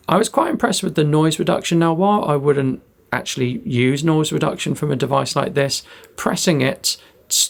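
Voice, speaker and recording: A man's voice: medium pitch, creaky. A British man giving a casual spoken product review of a gadget.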